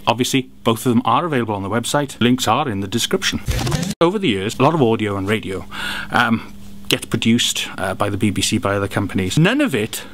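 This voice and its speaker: A man giving an animated, gushing review of audio dramas and radio plays, throwing in jokes as he goes. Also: mid-range voice. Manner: animated, jokey, gushing with praise. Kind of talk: enthusiastic product review.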